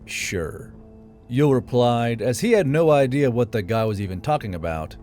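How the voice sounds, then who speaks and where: A man's voice: mid-range voice, expressive, brisk. Male fantasy audiobook narrator: mid-range voice, scripted storytelling, light music underneath. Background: quiet background music.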